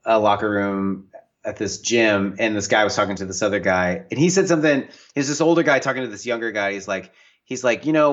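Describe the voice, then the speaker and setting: Low-voiced man telling stories casually. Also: muffled recording. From US Northwest. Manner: animated storyteller, acts out quoted voices, many fillers. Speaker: guest author and painter. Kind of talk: casual conversational interview.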